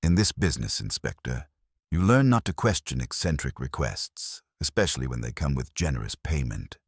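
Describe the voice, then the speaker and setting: Male narrator as a jittery fussy shop window dresser, low voice, careful and deferential, mystery audiobook. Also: very animated, normal speed, breathy edge, no room sound, studio quality, exaggerated voice. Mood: guarded. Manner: anxious, precise, deferential.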